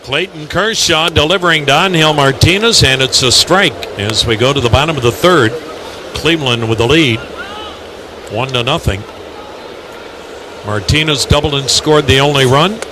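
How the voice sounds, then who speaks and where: A man's voice: on the high side, normal speed, noisy background. A man announcing live baseball play-by-play on the radio.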